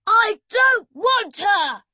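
A woman voicing a hot-tempered young boy who shouts angrily. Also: very high-pitched, animated, extremely slow, no room sound, voice pushed up, heavily acted. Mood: frenzied. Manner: shouting, furious.

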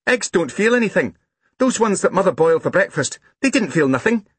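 A man's voice: high-pitched, sing-song, fairly quick, husky, no echo, voice pushed up, full character voice. A man voicing a small, stolid, bossy little boy in a children's storybook, blunt and matter-of-fact. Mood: exasperated.

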